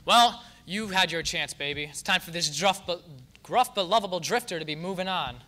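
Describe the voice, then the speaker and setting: A lovable drifter of a radio soap, played by a young man on stage. His voice is high and forceful, and he stumbles through his improvised lines. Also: moderate pace. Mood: swaggering. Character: gruff but lovable drifter, improvised by flustered radio actor. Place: theater stage.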